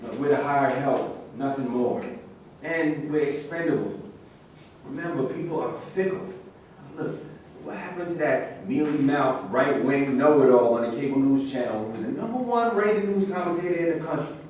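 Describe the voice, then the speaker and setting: A man's voice: mid-range voice, animated, moderate pace, darkish tone, natural voice. A man acting a wary, cynical famous athlete with a grumbling, opinionated manner in a staged play reading. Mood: bitter, wary. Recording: very echoey room, distant mic, faint noise.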